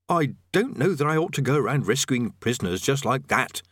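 A man voicing a nervous, cowardly adventurer in a storybook, full of awkwardly polite, hurried excuses. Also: medium pitch, very animated, fairly bright tone, husky, no room sound, studio quality. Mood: wary.

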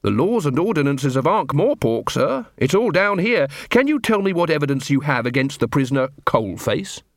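A man as an earnest, cheerful young corporal, pitched up. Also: sing-song, no echo, studio quality. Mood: calm, reasonable. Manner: polite, brisk, by-the-book.

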